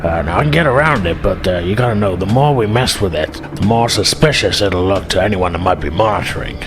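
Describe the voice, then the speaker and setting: Starship crewman man acting: low, wary, hesitant accomplice, sci-fi tabletop roleplay over music. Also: expressive, a little fast. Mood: wary, conspiratorial. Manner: hesitant, conspiratorial.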